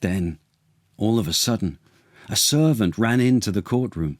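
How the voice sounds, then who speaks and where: A man reading a children's story as dramatic narration, deliberate, expressive and theatrical. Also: on the low side, dry recording, clean studio sound.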